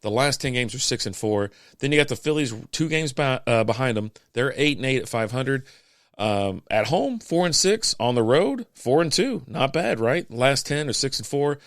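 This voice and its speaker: Chatty man with a hoarse voice. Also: medium pitch, sing-song, moderate pace, fairly bright tone, dry recording, studio quality. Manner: chatty, offhand asides and quips. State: voice going hoarse. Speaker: podcast host. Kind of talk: casual sports recap.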